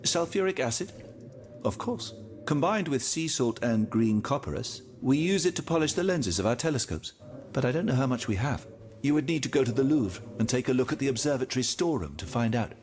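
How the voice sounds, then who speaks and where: An actor playing a learned, courtly older gentleman astronomer in a video game, genteel and thoughtful. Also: medium pitch, expressive, a little fast, soft background music, natural voice. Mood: helpful. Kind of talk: video game dialogue acting.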